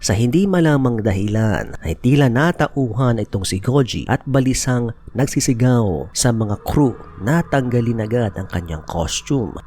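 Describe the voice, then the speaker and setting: A man's voice: mid-range voice, darkish tone, a little hiss or hum. A Filipino man narrating a scripted horror explainer as a storyteller, mixing in English phrases. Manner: storytelling, switches between Tagalog and English phrases. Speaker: YouTube narrator.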